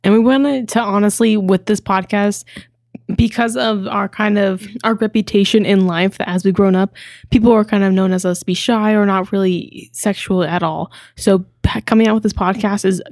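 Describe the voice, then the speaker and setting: A young woman of twenty co-hosting a casual podcast, talking in a halting way with stumbles and restarts. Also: mid-range voice, steady tone, darkish tone, no room sound, studio quality. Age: young adult. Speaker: podcast co-host.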